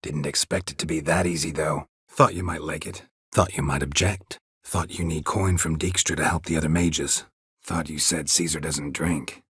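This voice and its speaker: An actor playing a gruff, stoic monster hunter in a video game, with terse, deadpan, dry-witted delivery and a gravelly voice. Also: male voice, normal speed, no echo, heavily acted. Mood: dry, guarded. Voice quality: gravelly, husky low voice. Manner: terse, deadpan, clipped phrasing.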